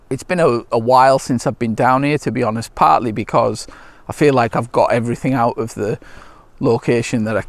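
A middle-aged British man chatting casually to camera outdoors in a relaxed, unscripted, slightly hesitant way. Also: medium pitch, gravelly, no room sound. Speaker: landscape photography vlogger. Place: outdoors by a lake shore.

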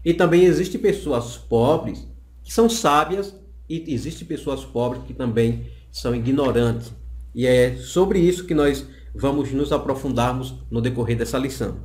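A man's voice: medium pitch, normal speed, darkish tone. A Brazilian man in his thirties explaining a Bible lesson in a didactic way, repeating phrases for emphasis. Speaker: Bible teacher. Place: small indoor office. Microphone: condenser microphone on the desk. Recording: roomy, echoing small office.

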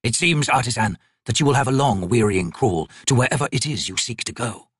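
A man voicing an ancient, sickly male god, speaking slowly and gravely with a sneer. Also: medium pitch, animated, a bit bright, dry recording, studio quality. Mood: cruel.

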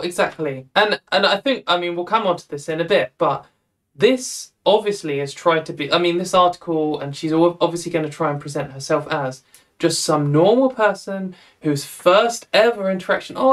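A woman's voice: lowish voice, lively intonation, moderate pace. A young woman hosting a casual chat over a video call, talking in a chatty way and laughing often. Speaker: host.

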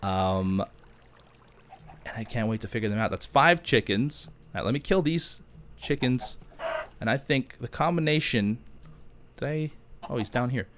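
A man's voice: medium pitch, measured pace, long pauses, fairly bright tone, a little hiss or hum. A man giving casual gameplay commentary, thinking aloud as he chats and answers questions. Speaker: gaming YouTuber.

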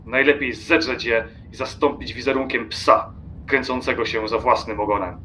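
A man's voice: mid-range voice, fairly even intonation, normal speed, pushed and bright, natural voice. Man acting a haughty, brooding train traveller. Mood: brooding, scornful. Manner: haughty, theatrical, sardonic. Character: irritable, brooding, disdainful solitary train traveller. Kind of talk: radio play acting. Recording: faint ambient noise behind.

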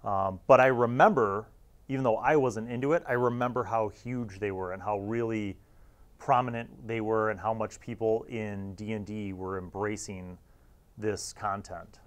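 Low-voiced middle-aged man reviewing casually. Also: steady tone, normal speed. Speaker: video host and reviewer. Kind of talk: casual review commentary to camera.

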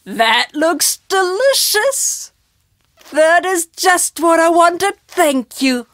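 An actress playing a very old, playful, wistful woman storyteller in a video game, speaking theatrically in unhurried phrases with dramatic pauses. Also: very high voice, animated, a bit bright, no room sound, studio quality, full character voice. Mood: delighted, savoring. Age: older. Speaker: game character.

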